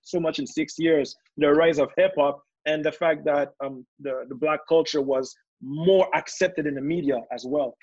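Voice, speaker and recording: A man's voice: on the high side, steady tone, moderate pace, few pauses, a little mellow, husky. A man in his thirties who did not grow up speaking English, reflecting in a casual video-call discussion with many fillers. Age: 30s. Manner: reflective, loose with fillers and repeats. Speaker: panelist. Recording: dull, dry video-call audio.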